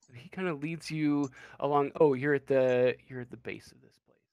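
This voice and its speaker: A man's voice: mid-range voice, steady tone, normal speed, darkish tone, smooth, no echo, highs cut off. A man improvising tabletop game narration as game master, hesitant and often searching for words.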